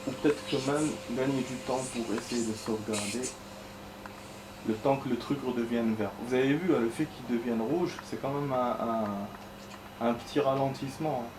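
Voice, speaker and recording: A chatty man narrating his gameplay in a loose, rambling way, cursing under his breath as he goes. His voice sits at a middling pitch. The recording has a roomy echo and steady noise behind it. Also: moderate pace. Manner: chatty, rambling, swears, addresses viewers as friends. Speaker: gameplay streamer. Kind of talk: casual let's-play commentary. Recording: echoey room with clear background noise.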